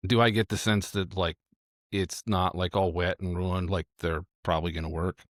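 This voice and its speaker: Deep-voiced man at a game table. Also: flat delivery, normal speed, no room sound, clean studio sound. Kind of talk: casual game-table chat.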